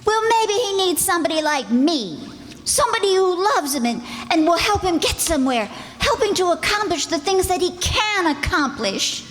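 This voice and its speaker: A woman's voice: very high voice, animated, moderate pace, a bit bright. A woman playing a breezy, slangy, outspoken young girlfriend in an old-time radio drama. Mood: pleading, insistent. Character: brash, perky young girlfriend, outspoken and pleading. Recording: echoey hall stage sound.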